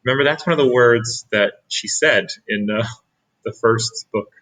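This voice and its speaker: A man reading a picture book aloud as a teacher, pausing for asides to young listeners. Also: mid-range voice, fairly bright tone, low-quality recording. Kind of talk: read-aloud storytelling.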